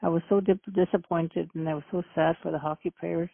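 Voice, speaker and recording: A middle-aged woman from Manitoba, Canada, answering interview questions by phone in an earnest, halting way. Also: lowish voice, fairly even intonation, gravelly, husky, no echo. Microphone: telephone. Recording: tinny phone line.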